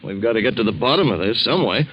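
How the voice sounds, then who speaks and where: A man's voice: medium pitch, lively intonation, fast talker, a bit bright. A man playing a persistent, probing police captain in a 1940s radio drama, on a dull vintage recording. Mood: probing, insistent. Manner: insistent, probing, folksy authority. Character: brisk, persistent male police captain questioning a victim.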